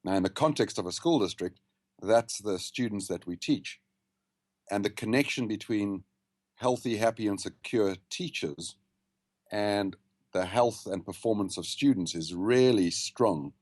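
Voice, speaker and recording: Man, South African accent, low pitch, dry sound, measured, interview guest. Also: darkish tone, no room sound. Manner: fluent, measured explanations. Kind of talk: podcast interview over a call. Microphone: video call.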